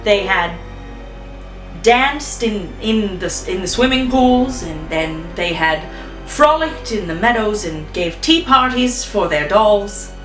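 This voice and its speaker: A woman's voice: medium pitch, expressive, slow pace, fairly bright tone. A woman casually making up and telling a story, chatty and thinking aloud. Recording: roomy echo from a distance, faint music.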